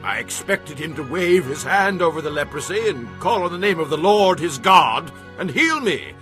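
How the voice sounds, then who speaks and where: An actor playing a proud, emphatic military commander in a dramatized audio Bible. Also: male voice, on the high side, lively intonation, moderate pace, over music, natural voice. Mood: indignant, haughty. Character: proud, indignant military commander turned earnest believer.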